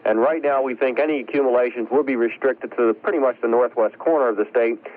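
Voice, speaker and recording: Male weather forecaster, mid pitch, explaining off the cuff, tinny phone-line sound on vintage radio. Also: fast talker. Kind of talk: unscripted live forecast interview. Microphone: live phone line.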